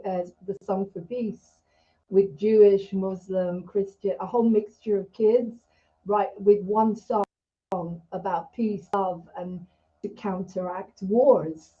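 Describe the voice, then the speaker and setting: A woman's voice: mid-range voice, fairly even intonation, slow. A woman raised in Israel hosting an interview over a video call, talking in an emphatic, rambling, heartfelt way. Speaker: host. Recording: dull lo-fi video call audio.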